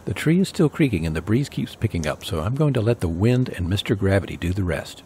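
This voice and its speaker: A man's voice: lowish voice, lively intonation, fast talker, warm tone, slight background noise. A man narrating his own tree-cutting video in a scripted voice-over, with dry folksy humor.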